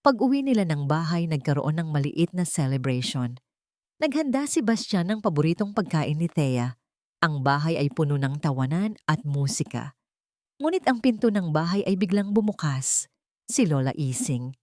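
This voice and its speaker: A woman's voice: deep voice, big pitch swings, normal speed, a little mellow, no room sound, studio quality. A woman reading a scripted romantic story as a dramatic narration.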